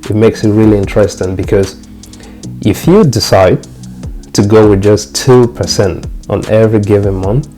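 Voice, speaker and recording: Young male host from Cameroon, low and relaxed tone, casual repetitive walkthrough, faint music bed. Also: moderate pace. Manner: repetitive, restarts phrases, talks to viewers as "guys". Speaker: trading channel host.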